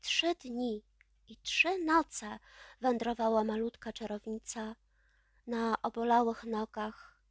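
A woman reads a children's story expressively. Her voice is fairly high and she takes her time, recorded dry and close with hardly any room sound. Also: vocal fry, a bit bright, no echo. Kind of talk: expressive children's audiobook narration.

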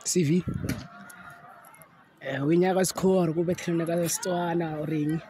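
Mellow young guy answering. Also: a little high, steady tone, moderate pace, a little hiss or hum. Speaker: interviewee. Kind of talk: casual chat, mixing languages. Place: outdoors on a school field. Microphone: handheld phone mic held by interviewer.

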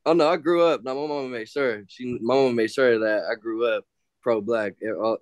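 A man's voice: mid-range voice, a little fast, no echo, clean studio sound. A young man from the San Francisco Bay Area, a student talking candidly in a casual class discussion over a video call. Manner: slangy, candid, animated.

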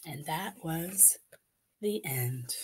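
A woman's voice: low voice, animated, extremely slow, darkish tone, breathy edge. A middle-aged woman reading a picture book aloud expressively for children, in a small office. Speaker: elementary school staff member reading aloud. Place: small indoor office with bookshelves.

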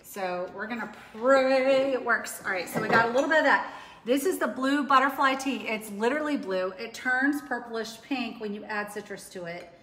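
A woman's voice: a little high, normal speed, fairly bright tone, slight background noise. A woman in her forties chatting casually as she demonstrates a drink recipe in her home kitchen, upbeat and rambling with frequent restarts. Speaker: cooking demo host. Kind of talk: casual live cooking demonstration. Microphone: overhead camera from a distance. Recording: echoey kitchen with long reverb.

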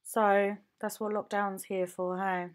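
A woman's voice: mid-range voice, flat delivery, slow. A woman nail technician from the UK, chatting casually as she shows her home studio on a handheld phone, rambling with lots of ums and likes. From United Kingdom. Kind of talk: casual show-and-tell vlog.

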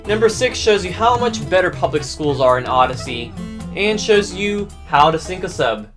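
A man's voice: high voice, animated, moderate pace, music in the background. A young adult man hosting a countdown review video in scripted narration.

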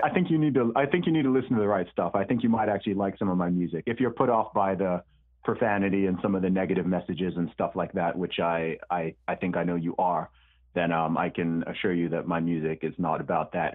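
A man's voice: low-pitched, fairly even intonation, fast talker. A man with a mixed British and American accent, answering interview questions in a laid-back, hesitant way, with lots of ums and repeated words. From born in the UK, raised in Saudi Arabia, Nigerian parents. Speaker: guest, rapper. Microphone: remote call line. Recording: tinny phone-like line.

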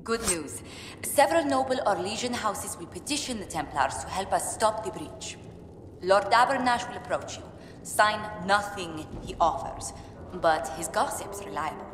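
A woman's voice: medium pitch, animated, normal speed, big-room sound, a little hiss or hum, close to an everyday voice. An actress playing a poised, diplomatic ambassador in a video game, polished and businesslike in her delivery. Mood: briskly advising. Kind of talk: dramatic video game dialogue.

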